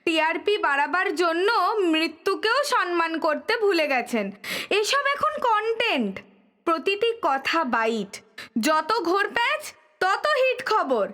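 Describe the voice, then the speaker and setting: An actress playing a stern, defensive school principal in an audio drama, formal and crisp in her manner. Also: very high voice, big pitch swings, a little slow, sharp tone, full character voice. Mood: reproachful. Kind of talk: Bengali audio drama acting.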